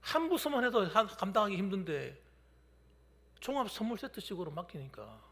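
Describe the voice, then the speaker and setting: A male pastor in his fifties preaching a midweek sermon, shifting between loud declarations and hushed whispers. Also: high voice, sing-song, normal speed. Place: church sanctuary during a midweek service. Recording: long hall reverb from a church.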